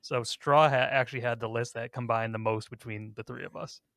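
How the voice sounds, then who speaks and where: A man's voice: mid-range voice, fairly even intonation, brisk, gravelly, fairly bright tone, dry recording, clean studio sound. A man hosting a podcast, talking casually as he leads a countdown discussion. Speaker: podcast host.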